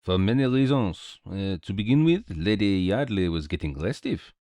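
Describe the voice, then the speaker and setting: A dapper little detective played by a man reading aloud in a storybook mystery. He speaks, courteous and theatrical, his pitch swinging freely. He sounds cheerful and very sure of himself, in a dry, close sound. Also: animated, moderate pace, a little mellow, dry recording. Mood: knowing, animated. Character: small Belgian male detective, cheerful, courteous, self-assured.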